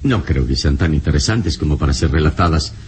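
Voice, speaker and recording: A man playing a calm, courteous heroic adventurer in a radio serial, speaking in a measured, formal, commanding way. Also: very deep voice. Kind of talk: old Spanish-language radio serial acting. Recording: noisy old radio drama recording.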